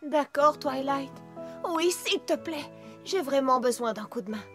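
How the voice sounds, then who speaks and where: Stubborn young farm pony for a cartoon: high voice, blunt and folksy, music underneath. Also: female voice, expressive, a little slow, fairly bright tone. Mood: humbled, friendly. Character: stubborn, proud, hard-working young female farm pony. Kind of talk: animated cartoon dub acting. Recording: music behind the voice.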